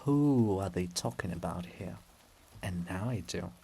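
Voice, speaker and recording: Animated man reading a drama aloud. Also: deep voice, a little slow, slight background noise. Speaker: reader. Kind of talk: dramatic reading narration with casual asides.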